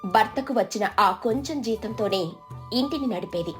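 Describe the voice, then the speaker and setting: A woman's voice: a little high, moderate pace, music in the background. A woman reading a scripted moral story as a storyteller. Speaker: story narrator. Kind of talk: scripted narration of moral stories.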